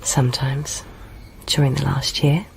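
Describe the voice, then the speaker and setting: An actress playing a tired, candid police officer in a radio drama, speaking in a frank, understated way. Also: female voice, very deep voice, level tone, measured pace, creaky, a little hiss or hum, heavily acted. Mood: quietly intimate.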